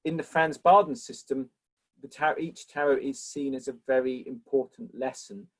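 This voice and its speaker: A man answers questions after his lecture on an online symposium. He talks in a thoughtful, appreciative way at a mid-range pitch, and he thanks the people who ask. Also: fairly even intonation, normal speed, rough recording. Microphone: video call.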